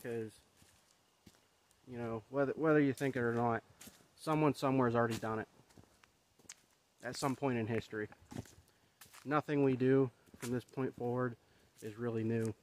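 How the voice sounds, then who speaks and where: A man's voice: mid-range voice, steady tone, moderate pace, pauses often, a little mellow, a little hiss or hum. A man in his thirties walking a wooded trail, filming himself at arm's length and talking in a rambling, reflective way with many fillers. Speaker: vlogger. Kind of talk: casual walking vlog. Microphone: phone or camera held at arm's length.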